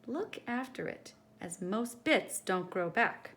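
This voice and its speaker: Storytime host, female, very lively pitch swings, teacherly read-aloud for young kids, some background noise. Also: slow. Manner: animated, teacherly, addressing young listeners directly. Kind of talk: expressive children's picture-book read-aloud.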